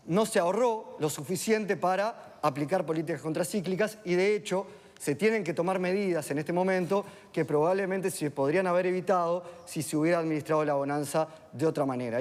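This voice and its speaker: A man speaks in a measured, explanatory way with a somewhat high voice. He lays out economic points at a steady pace. His voice rings with the long reverb of a studio. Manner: measured, explanatory, with filler tags. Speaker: economist guest on a TV panel. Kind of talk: analytical panel discussion.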